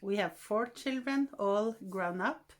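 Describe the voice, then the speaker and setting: Older woman, Norwegian accent, retired, slow, gentle, halting, casual vlog. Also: medium pitch. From Norway. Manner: gentle, unhurried, with frequent hesitations. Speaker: vlogger.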